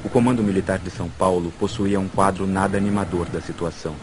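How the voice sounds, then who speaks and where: A man's voice: low voice, fairly even intonation, fast, background noise. A man with a Brazilian accent delivering scripted documentary narration. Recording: voice over loud music. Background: prominent background music.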